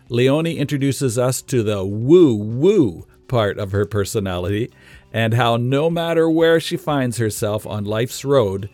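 A man's voice: mid-range voice, a little mellow, no echo. Man narrating a podcast close-up. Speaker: podcast host. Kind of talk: scripted narration.

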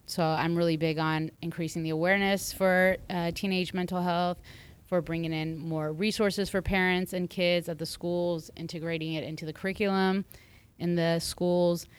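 A woman's voice: lowish voice, fairly even intonation, normal speed, smooth. A middle-aged American woman introducing herself in casual podcast talk. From United States. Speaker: panel member, counselor in training.

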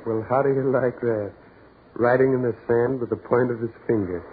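An American actor playing a curious, insistent man on a dark beach in a 1940s radio horror play. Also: mid-range voice, fairly even intonation, normal speed, phone-quality sound, natural voice. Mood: insistent calling. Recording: scratchy band-limited 1940s radio recording.

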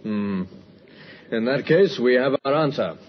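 An actor playing a dogged, methodical police inspector in a measured, authoritative old-time radio drama style. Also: male voice, mid-range voice, animated. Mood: resolute, musing. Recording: muffled, husky old radio recording.

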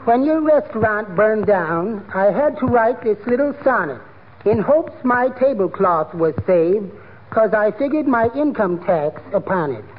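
An actor playing a meek, earnest little amateur poet with a timid, precious manner in a 1940s radio comedy. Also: male voice, sits high, expressive, measured pace. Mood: shyly proud. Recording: tinny, noisy old radio broadcast.

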